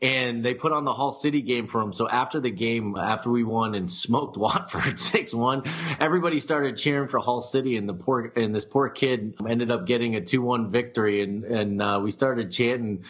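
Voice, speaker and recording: A man's voice: medium pitch, normal speed, fairly bright tone. Chatty man on muffled audio. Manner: laughing, chatty recap. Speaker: podcast host. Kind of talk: casual podcast chat. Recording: dull lo-fi audio.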